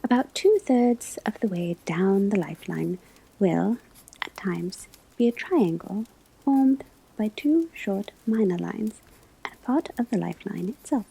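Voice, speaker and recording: A woman playing a gentle witch-school divination teacher in an ASMR roleplay, whispering breathily as she gives measured instruction. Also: medium pitch, lively intonation, vocal fry, breathy edge, heavily acted, poor audio. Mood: calm, instructive. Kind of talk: ASMR classroom roleplay lecture.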